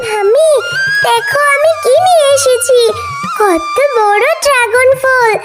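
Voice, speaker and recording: A woman's voice: very high-pitched, takes it slowly. A woman voicing a meek, plaintive young orphan fox girl in a children's cartoon. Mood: excited. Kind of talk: Bangla children's cartoon dubbing. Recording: loud music behind.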